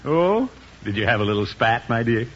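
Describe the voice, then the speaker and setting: An actor playing a fussy, pompous middle-aged uncle with drawn-out exclamations in 1950s radio comedy. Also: male voice, mid-range voice, big pitch swings, fairly bright tone. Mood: curious, concerned. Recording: very noisy, muffled radio recording, studio audience.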